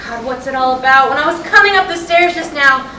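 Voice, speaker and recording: A woman's voice: high voice, a little fast, natural voice. A woman reading the part of a restless, sardonic wife in a staged play, in blunt, conversational dialogue. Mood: agitated, depressed. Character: restless, sardonic wife, easily upset, nostalgic. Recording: distant mic in echoey, noisy room.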